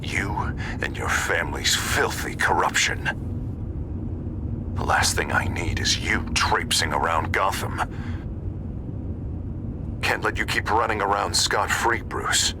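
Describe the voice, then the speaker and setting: A man playing an embittered, vengeful politician with a gravelly, whispery voice in a video game drama. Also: low voice, takes it slowly, long pauses, background noise. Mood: menacing, self-righteous. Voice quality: gravelly, breaking into hoarse whisper. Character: embittered, menacing politician, obsessed and vengeful former friend.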